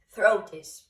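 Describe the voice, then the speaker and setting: A woman giving a pick-a-card tarot reading in a gentle, reassuring way, speaking English as a second language. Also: medium pitch, recorded from a distance. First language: not English. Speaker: tarot reader.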